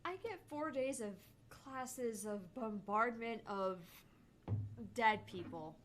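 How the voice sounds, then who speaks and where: A woman's voice: on the high side, takes it slowly, a bit bright. A woman co-hosting a casual gaming livestream with sardonic, sweary, teasing banter, recorded with background noise. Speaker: gaming channel co-host and narrator.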